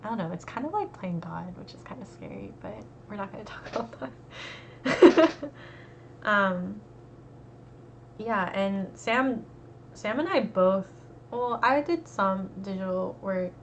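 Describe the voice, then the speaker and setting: A young American woman, an art student, talking casually and relaxed, with lots of fillers. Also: medium pitch, slow pace, long pauses. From United States. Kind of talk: casual conversation. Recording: dull lo-fi recording with faint noise.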